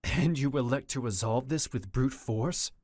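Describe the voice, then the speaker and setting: A man playing a stern, principled Keyblade master in a game fandub, measured and reproachful. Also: medium pitch, a little mellow, no room sound, studio quality, lightly acted. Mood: defiant. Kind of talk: anime-style game fandub acting.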